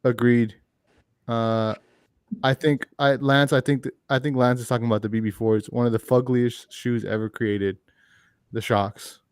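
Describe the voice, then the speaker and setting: A man co-hosting a livestream over a video call, reading viewer comments in a flat, dry way. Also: medium pitch, normal speed, smooth, dry recording. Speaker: livestream co-host. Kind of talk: casual chat, reading comments.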